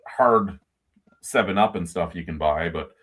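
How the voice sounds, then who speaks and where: A man's voice: sits low, a little slow, a bit bright. A man in his forties chatting casually on a video call, telling long rambling stories and swearing freely. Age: 40s. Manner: rambling anecdotal storytelling with swearing. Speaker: podcast co-host. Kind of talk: casual chat.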